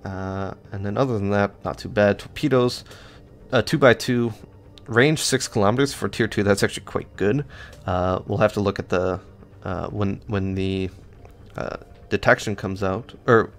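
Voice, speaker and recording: A man with a fairly low voice talks through game stats in a loose, offhand way. He rambles, restarts his sentences and fills the gaps with ums and uhs. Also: moderate pace. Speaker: gaming channel host. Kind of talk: casual gameplay stats walkthrough.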